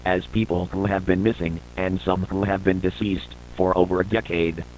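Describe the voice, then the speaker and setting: A man reading scripted documentary-style narration for an analog horror piece, in a formal, measured report delivery. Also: sits low, little pitch movement, a little mellow, slight background noise, poor audio.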